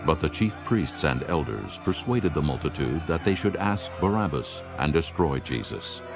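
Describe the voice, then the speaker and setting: A man narrating dramatized scripture in a solemn, measured manner. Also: rumbling low, expressive. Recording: prominent music behind the voice.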